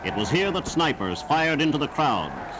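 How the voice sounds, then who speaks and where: A man's voice: a little high, sing-song, moderate pace. Noisy old newsreel, grandiose male narrator. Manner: formal, grandiose, declamatory. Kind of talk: 1940s wartime newsreel narration.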